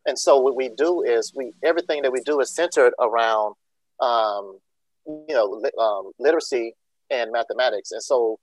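A man's voice: medium pitch, normal speed, dry recording, rough recording. A man from Georgia, a school district leader answering interview questions with many ums and restarts. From Georgia, USA. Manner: many ums and uhs, restarts, earnest explaining.

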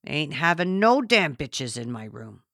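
A woman's voice: deep voice, big pitch swings, a little slow, a bit bright, no room sound, clean studio sound, voice pushed down, heavily acted. A woman narrator voicing an agitated, boastful, childlike rural man with blunt, emphatic speech in an audiobook. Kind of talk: audiobook character reading.

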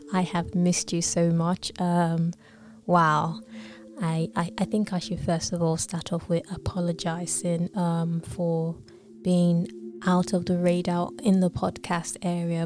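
A Nigerian-born woman hosting her podcast alone, talking hesitantly with many pauses and fillers, sometimes dropping to a near whisper. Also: fairly low pitch. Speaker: podcast host. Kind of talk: solo podcast monologue.

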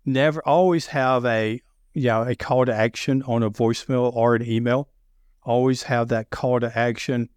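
A middle-aged man with a deep Southern accent, talking loudly and telling sales stories with many restarts. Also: moderate pace, clean tone, dry recording. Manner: loud, animated storyteller with frequent restarts. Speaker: sales podcast guest. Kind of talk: casual conversation.

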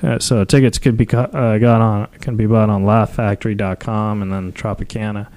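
A man with a fairly deep voice chats casually as a podcast host. He rambles in a halting, self-deprecating way, like a comedian plugging along live. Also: flat delivery, a little mellow.

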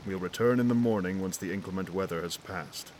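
A commanding naval officer played by a man with a very deep voice. He is formal, businesslike and authoritative, in an audio drama with clear noise behind him. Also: fairly quick, a little mellow, lightly acted. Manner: authoritative, formal, clipped orders. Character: commanding, businesslike male navy admiral.

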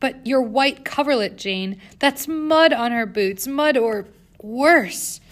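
A woman's voice: mid-range voice, moderate pace, creaky, a bit bright. A woman voicing a fussy, disdainful older female governess in a storybook reading, prim and scandalized.

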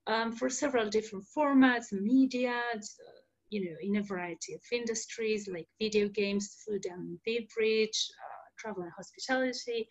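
Woman in her thirties, native Spanish speaker, podcast host, earnest and explanatory, slightly dull sound. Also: mid-range voice. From Argentina. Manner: earnest, explanatory, with fillers and restarts. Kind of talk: solo podcast monologue.

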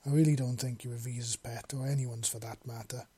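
A man's voice: mid-range voice, level tone, brisk, warm tone, breathy edge, no echo, lightly acted. A man voices a big clawed alien male in a storybook. He is a playful, teasing brother type with a drawling delivery. The sound is dry and close. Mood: soft, apologetic.

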